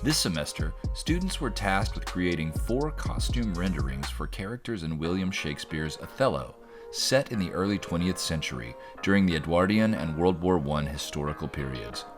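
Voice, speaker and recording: Very deep male narrator over music. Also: sing-song, normal speed. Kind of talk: scripted narration.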